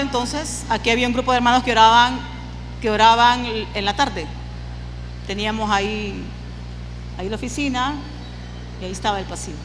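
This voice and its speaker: High-voiced woman preaching in a reverberant hall. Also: sharp tone. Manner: emphatic, repeats phrases for effect. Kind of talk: sermon with personal testimony. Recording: long hall reverb, clear background noise.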